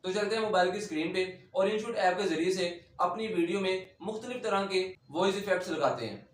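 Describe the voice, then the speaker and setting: Echoey, high-pitched man presenting. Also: fairly quick. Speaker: tutorial host. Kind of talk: video intro and sign-off. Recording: roomy echo, faint background noise.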